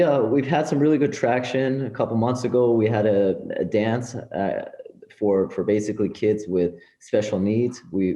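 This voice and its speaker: A middle-aged man, a native Farsi speaker, with a low and very mellow voice. He talks casually and earnestly as an interview guest. The recording has a clearly roomy echo. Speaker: interview guest, business professional and community organizer. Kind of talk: casual podcast interview, personal anecdotes.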